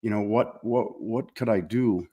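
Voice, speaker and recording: A man from small-town Minnesota with an American accent, recalling his life as an interview guest in a reflective, halting way. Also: fairly low pitch, mellow. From small-town Minnesota, United States. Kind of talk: conversational personal recollection.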